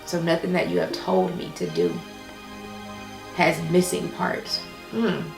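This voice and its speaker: A woman's voice: on the low side, sing-song, measured pace, vocal fry, music in the background. An American woman talking candidly and reflectively in a casual personal vlog, repeating herself for emphasis. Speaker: vlogger.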